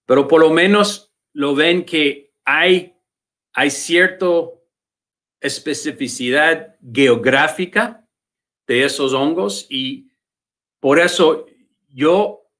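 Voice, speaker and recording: A man's voice: medium pitch, a bit bright. A man with an American English accent, a native English speaker, giving a virtual conference lecture in Spanish, often repeating words as he searches for them. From United States. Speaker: invited lecturer. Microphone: computer mic on a video call.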